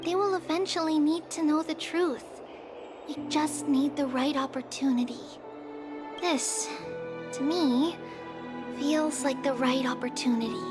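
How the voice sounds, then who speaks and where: A woman voicing a solemn young seer in a video game, guiding visitors through memories in measured, formal, wistful speech. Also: sits high. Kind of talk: video game voice acting, story narration. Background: game music.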